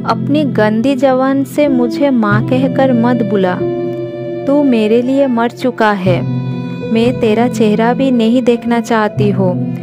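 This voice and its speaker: Woman voicing a loud mother deer. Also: a little high, slow pace, loud music behind the voice. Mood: furious. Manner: loud, scolding and pleading. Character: fierce protective mother deer.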